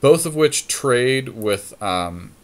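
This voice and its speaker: A man's voice: mid-range voice, expressive, slow pace. A man co-hosting a podcast, giving casual card game commentary and thinking plays through aloud in a conversational way. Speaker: podcast co-host.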